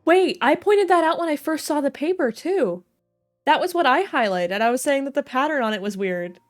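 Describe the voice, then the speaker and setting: A woman's voice: sits high, expressive, normal speed. Woman livestreamer, joking and slangy. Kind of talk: casual game playthrough commentary.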